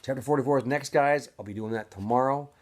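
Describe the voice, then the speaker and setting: A man narrating a novel aloud in an expressive storytelling style, as if for students. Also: mid-range voice, fast talker. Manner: animated storyteller. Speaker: teacher or school staff reading aloud to students.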